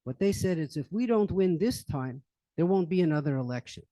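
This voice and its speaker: A man's voice: a little high, lively intonation, fairly quick, mellow, dry recording. An older man giving an informal current-events talk to an audience at a public library, rambling with many fillers and restarts. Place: library meeting room.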